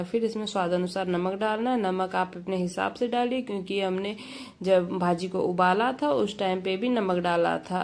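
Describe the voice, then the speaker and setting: Woman with creaky voice narrating recipe. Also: mid-range voice. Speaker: home cook presenting a recipe.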